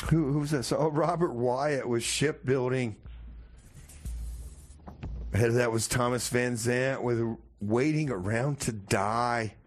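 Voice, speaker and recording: A man's voice: mid-range voice, measured pace, slight background noise. Casual, rambling male radio host. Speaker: radio show host Rebar. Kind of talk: casual community radio hosting with comedy skits.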